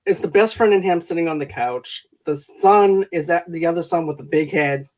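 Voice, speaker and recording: A man's voice: fairly high pitch, moderate pace, darkish tone. Chuckly man hosting a movie podcast. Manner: rambling, self-correcting, chuckling. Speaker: podcast host. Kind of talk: casual movie review chat.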